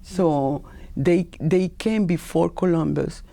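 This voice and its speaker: A woman's voice: lowish voice, slow pace, gravelly, dark tone. A woman from Colombia with a Colombian Spanish accent, a Spanish speaker, telling her story as a talk show guest and often repeating words as she searches for phrasing.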